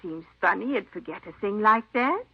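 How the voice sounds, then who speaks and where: An actress playing a folksy, forgetful, kindly elderly aunt who rambles in an old radio drama. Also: female voice, medium pitch, animated, moderate pace, husky. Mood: proud, doting. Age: older. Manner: rambling, folksy rural phrasing. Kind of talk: 1950s radio drama acting. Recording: dull old radio recording.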